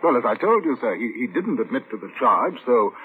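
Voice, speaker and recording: An actor playing a patient, methodical British chief inspector in an old radio drama, polite, measured and dryly probing. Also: male voice, mid-range voice, sing-song, husky, phone-quality sound. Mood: deferential, dry. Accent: British English. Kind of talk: old radio drama acting and first-person narration. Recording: thin, noisy old radio recording.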